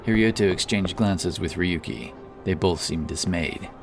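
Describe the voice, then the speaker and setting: A man narrating a scripted fantasy story in a dramatic, deliberate manner. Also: low-pitched, vocal fry. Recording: distant voice under loud background music.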